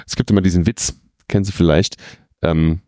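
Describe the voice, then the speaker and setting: A man's voice: low-pitched, fairly even intonation, warm tone, clean tone, no room sound, studio quality. A man hosting a casual podcast interview at a comic convention, chatty and full of fillers. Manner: quick, chatty, frequent fillers.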